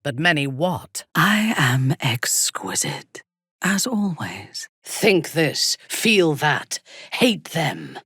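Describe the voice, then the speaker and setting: Imperious female dark elf warlord for a fantasy game: slow, husky delivery, coldly menacing, ruthless and formal. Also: low-pitched, sing-song, no echo, studio quality, exaggerated voice. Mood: haughty. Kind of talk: video game character dialogue.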